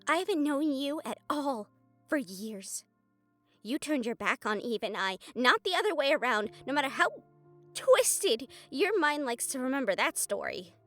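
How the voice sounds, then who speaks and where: An actress playing a sardonic, defiant young fledgling vampire in an audio roleplay drama, with a wry, sarcastic delivery. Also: female voice, sits high, big pitch swings, normal speed, fairly bright tone, faint music behind. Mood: indignant.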